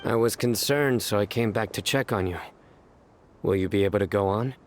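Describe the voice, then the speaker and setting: A man voicing a stern young guardian in a video game, serious, terse and deadpan but quietly concerned. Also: fairly low pitch, normal speed, heavy background noise, close to an everyday voice. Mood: calm concern. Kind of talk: English video game voice acting. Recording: music behind the voice.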